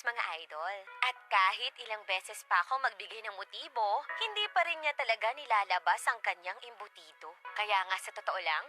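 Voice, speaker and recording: An actress plays a playful, love-struck young woman in a radio drama. Her voice is high and very bright, swooping freely with giggly, teasing frustration. Light music plays underneath. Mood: confiding narration. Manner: giggly, teasing, exaggerated delivery. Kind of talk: Tagalog radio drama acting with narration.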